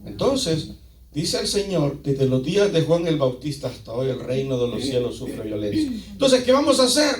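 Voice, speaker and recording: High-voiced Latin American man preaching, echoey room. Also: very animated, normal speed. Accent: Latin American Spanish. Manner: emphatic, asks rhetorical questions, repeats phrases for emphasis. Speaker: pastor preaching. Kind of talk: sermon to a congregation. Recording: echoey room, picked up from a distance.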